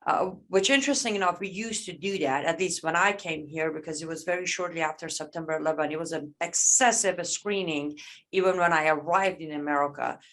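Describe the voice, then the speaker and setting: A woman's voice: deep voice, fairly quick, a bit bright. A woman hosting an online Q&A over a video call, speaking hesitantly with many fillers. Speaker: host. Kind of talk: moderating an online Q&A.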